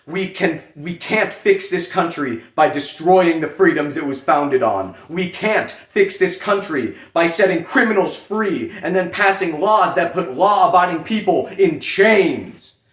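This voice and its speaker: A man giving a solemn, theatrical dramatic reading with emphatic pauses. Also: fairly high pitch, lively intonation, fairly bright tone. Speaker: reader performing a letter. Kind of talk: dramatic reading of a political fundraising letter. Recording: echoey room.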